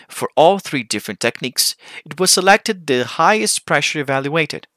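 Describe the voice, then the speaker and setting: A man giving a formal, scripted conference presentation as a researcher, with deliberate phrasing. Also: medium pitch, pushed and bright, dry recording.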